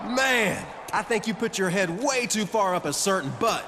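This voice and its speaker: A man's voice: high voice, moderate pace, natural voice. A man playing a cocky, defiant pro wrestler in a video game, talking in a mocking way. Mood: mocking defiance. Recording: noisy ambience behind the voice.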